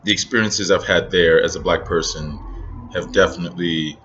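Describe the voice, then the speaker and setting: A young man from Nigeria with an American accent, talking reflectively in a casual personal vlog, pausing often with ums. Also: deep voice, steady tone, a bit bright, dull audio, slight background noise. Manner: reflective, many ums and pauses. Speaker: vlogger.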